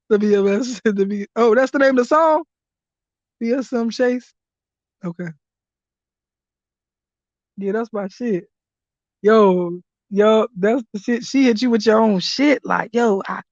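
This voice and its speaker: Hoarse, rowdy woman live-stream host. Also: a little high, animated, a little slow, pauses often, no room sound. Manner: loud, laughing, profane hype commentary. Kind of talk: casual live-stream chat. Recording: dry, dull lo-fi stream audio.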